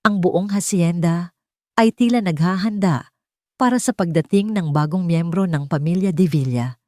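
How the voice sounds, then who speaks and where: A woman's voice: fairly low pitch, normal speed, a little mellow, dry recording, clean studio sound. A woman storyteller reading a scripted romantic tale in a dramatic narrating style.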